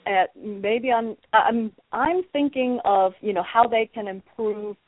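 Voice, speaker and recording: A woman's voice: fairly high pitch, a little slow, dry recording. A woman improv actor and coach, a guest on a phone interview, talking animatedly and restarting her words. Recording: dry, narrow telephone line.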